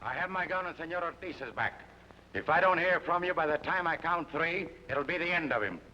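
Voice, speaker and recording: A man's voice: a little high, brisk, pushed and bright. A man playing a suave, menacing bandit captain with a put-on Mexican accent and mocking courtesy, in an old radio drama. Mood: mocking polite. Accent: put-on Mexican Spanish. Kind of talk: 1950s radio drama acting. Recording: muffled old radio broadcast with hiss.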